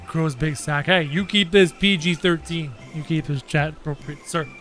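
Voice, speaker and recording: High-pitched man streaming a game. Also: normal speed, fairly bright tone, faint music behind. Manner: joking, exclamatory, thinks aloud. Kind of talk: casual gameplay commentary, chatting with viewers.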